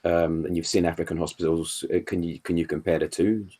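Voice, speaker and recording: A man, co-hosting a casual chat and reacting with quick yeahs and British slang. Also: deep voice, little pitch movement, dark tone, husky. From Wales. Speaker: co-host.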